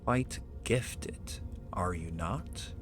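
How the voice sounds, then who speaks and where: An ancient, proud dragon acted by a man speaks in a deep voice at an extremely slow, measured pace. The phrasing is archaic, formal and imperious, delivered as a theatrical ASMR roleplay monologue with music clearly playing behind it. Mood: calm, knowing. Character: ancient, proud male dragon summoned as a familiar.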